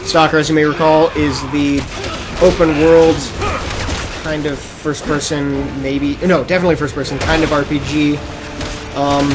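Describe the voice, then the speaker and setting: A man with a slightly high voice co-hosts a gaming podcast. He talks in a loose, rambling, conversational way. Game noise is clearly audible behind him. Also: flat delivery, moderate pace. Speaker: podcast co-host. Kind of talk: casual gaming news chat while playing a video game. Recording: noisy, with game sounds behind. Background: video game audio.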